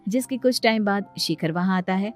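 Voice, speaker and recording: A woman's voice: mid-range voice, normal speed, faint music behind. A woman reading scripted narration for a story, as its narrator.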